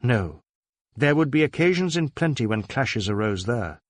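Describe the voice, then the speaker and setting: A man's voice: medium pitch, sing-song, normal speed, no room sound. Dry-sounding male crime audiobook narrator. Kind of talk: scripted narration of a crime novel.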